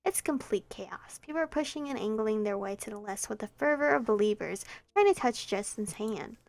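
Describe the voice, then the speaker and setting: A woman's voice: mid-range voice, lively intonation, normal speed, vocal fry, no echo. A young woman streamer reading a visual novel aloud in a light, chatty way into a close mic. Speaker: let's play streamer.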